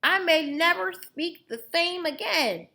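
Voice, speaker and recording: A woman's voice: very high voice, expressive, slow pace, creaky, pitched above the performer's own voice, heavily acted. A woman voicing a dramatic old grandfather who has lost his false teeth, talking in a gummy, lisping way. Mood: lamenting. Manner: gummy lisping speech, drawn out and shouted.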